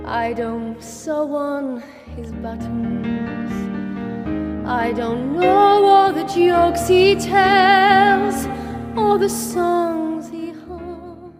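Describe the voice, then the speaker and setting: A woman performing songs in a musical theatre style. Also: high voice. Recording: long reverb, loud musical backing.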